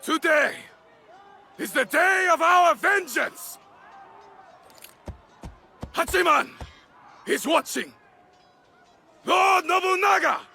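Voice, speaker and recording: An actor playing a commanding Japanese warlord who rallies his army with drawn-out, declamatory battle cries in a video game cinematic. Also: extremely high pitch, gravelly, pushed and bright, heavily acted. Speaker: military commander.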